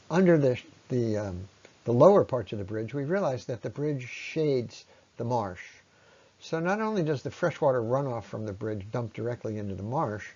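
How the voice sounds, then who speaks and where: Man giving a halting, explanatory slide talk, dull sound. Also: medium pitch, dark tone. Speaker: presenter on local ecology. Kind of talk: informal narrated slide presentation.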